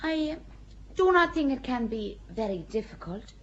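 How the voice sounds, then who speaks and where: A devout young peasant girl, Joan, plain-spoken and calmly certain of herself, as an actress voices her. Her voice sits fairly high, and she answers challenges with quiet defiance rather than raising it. The recording sounds dull and slightly lo-fi, like an older audio drama. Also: moderate pace. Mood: earnest. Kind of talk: radio drama acting.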